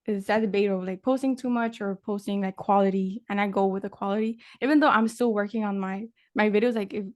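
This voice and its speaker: A woman's voice: mid-range voice, fairly even intonation, fairly quick, darkish tone, no room sound, clean studio sound. A young woman from Mexico hosting a podcast interview in a casual, rambling way, with many fillers and restarts. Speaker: podcast host.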